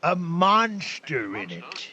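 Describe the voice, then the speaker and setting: An actor playing a servile, bloodthirsty henchman in a radio drama, halting and fawning as he stammers. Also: a little high, huge pitch swings, bright, forceful tone, highs cut off, exaggerated voice. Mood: nervous. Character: servile, bloodthirsty male henchman of a mad scientist.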